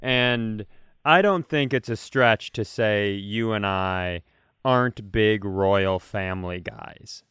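A man's voice: fairly low pitch, slow pace, a bit bright, clean tone, no room sound, studio quality. An American man co-hosting a comedy podcast, bantering casually in a rambling, playful way full of rhetorical questions.

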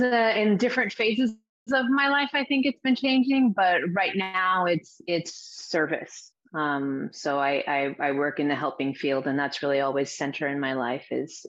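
A middle-aged woman from the Azores talking as an interview guest, reflective and halting with many restarts. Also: deep voice, fairly even intonation. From Azores, Portugal. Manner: reflective, with frequent restarts and fillers. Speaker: guest, spiritual teacher and coach. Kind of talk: interview answers. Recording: dull lo-fi sound.

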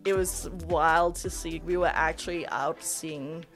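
A woman's voice: low voice, fairly even intonation, a little slow, fairly bright tone, faint music behind. A young woman from Denmark with a Danish accent, chatting casually as a podcast guest and laughing often. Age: young adult. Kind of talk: casual interview chat.

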